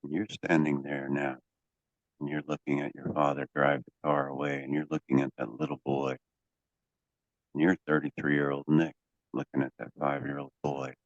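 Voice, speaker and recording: A man working as a hypnotherapy coach, guiding a session in a deliberate, commanding way with drawn-out pauses and phrases to be repeated. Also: very deep voice, level tone. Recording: dull, echoey lo-fi room sound.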